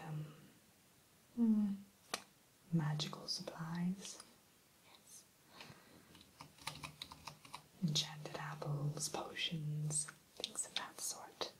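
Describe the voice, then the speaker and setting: A woman playing a polite, primly formal evil queen shopkeeper in a soft, unhurried ASMR whisper. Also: sits low, background noise. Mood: pleased, genteel. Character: genteel evil queen running an enchanted mirror shop. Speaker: shopkeeper character in ASMR roleplay.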